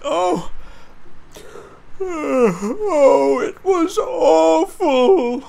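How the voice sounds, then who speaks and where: A man's voice: medium pitch, wildly swooping pitch, extremely slow, creaky, fairly bright tone, slight background noise, exaggerated voice. A man voicing a nervous, easily frightened young steam engine in a children's story, stammering and quavering.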